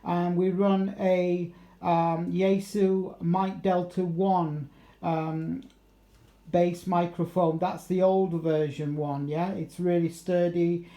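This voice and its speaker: A middle-aged man with a fairly high, mellow voice chats casually over amateur radio. He rambles along in a chatty way and drops in radio jargon. The room around him sounds noticeably echoey. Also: little pitch movement, takes it slowly. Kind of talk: casual radio contact chat.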